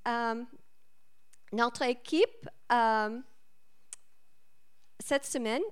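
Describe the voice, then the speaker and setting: A woman with an American accent, a native English speaker, greets a church carefully and haltingly. Her voice is very clean and a little high, and she speaks slowly. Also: fairly bright tone, smooth. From United States. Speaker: French teacher leading a visiting team.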